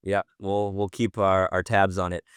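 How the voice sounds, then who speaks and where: A man hosting a podcast, talking in a relaxed, informal way and steering the chat. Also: sits low, moderate pace, clear, resonant tone, no room sound, studio quality. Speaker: host.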